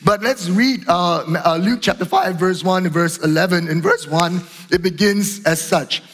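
A middle-aged man preaching a sermon as pastor, earnest and repetitive, slightly hoarse from a cough. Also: high-pitched, moderate pace, a bit bright. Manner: earnest, repeats phrases, fillers and restarts. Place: church auditorium. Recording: long hall reverb.

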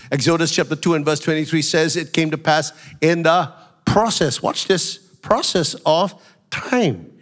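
A man preaching a sermon as pastor, a little nasal from a flu, building up to shouted, slowed-down emphasis. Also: on the high side. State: has a flu.

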